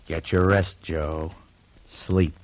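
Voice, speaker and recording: An actor playing a scheming, manipulative small-time crook in a vintage radio drama, talking in a casual, coaxing, controlled manner. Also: male voice, low voice, little pitch movement, drawn-out words, no echo, exaggerated voice, poor audio. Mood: soothing. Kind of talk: 1950s radio drama acting.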